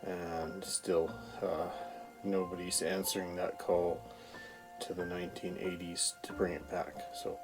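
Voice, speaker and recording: A middle-aged Canadian man, a comedian hosting his show, talking casually to viewers in a halting, rambling, informal way. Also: low voice, flat delivery, over music, rough recording. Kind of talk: casual vlog talk to viewers.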